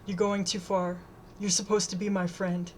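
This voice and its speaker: A woman's voice: medium pitch, level tone, normal speed, slight background noise, natural voice. A woman voicing a frightened teenage schoolgirl in an audiobook, pleading urgently and desperately. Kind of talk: audiobook character dialogue.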